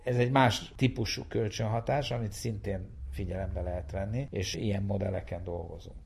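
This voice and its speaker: A man speaking as a researcher in an explanatory interview, in a measured way, searching for his words. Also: lowish voice, steady tone, vocal fry, a little mellow, slight background noise. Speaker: network science researcher.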